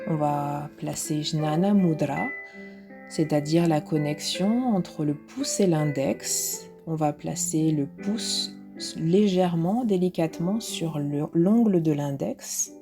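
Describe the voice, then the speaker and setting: A woman instructor leading a guided relaxation meditation in a soft, soothing, unhurried way with pauses, with music behind her. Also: low-pitched. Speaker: yoga nidra instructor.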